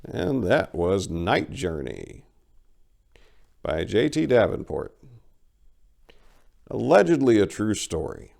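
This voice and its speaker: Male story host, low register with heavy fry, dramatic and emphatic reader. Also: very animated, takes it slowly, pauses often, darkish tone. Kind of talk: dramatic story reading with casual commentary.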